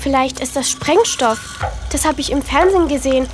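Woman playing a high-voiced, bold adventurous young girl. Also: moderate pace, music in the background, natural voice. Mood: eager, daring. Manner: brash, eager, conspiratorial. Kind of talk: children's audio drama acting.

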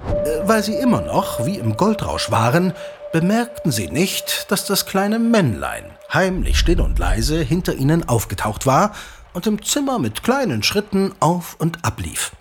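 A playful male storyteller in a radio play. He speaks slowly and deliberately, with sweeping pitch swings and theatrical suspense. Music plays behind him. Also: huge pitch swings. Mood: suspenseful, amused. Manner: dramatic, deliberate, savouring pauses.

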